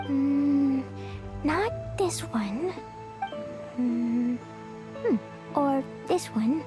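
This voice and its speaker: Little girl for an anime game: extremely high, swooping voice, innocent and bouncy, over music. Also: expressive, very slow, heavily acted. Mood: pondering, eager. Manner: childlike, bouncy, guileless. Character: excitable, innocent little girl treasure hunter. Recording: music clearly behind the voice.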